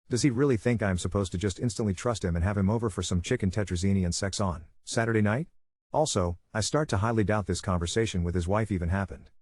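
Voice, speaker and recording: A man narrating an online story in a brisk, even reading style. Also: fairly low pitch, no room sound.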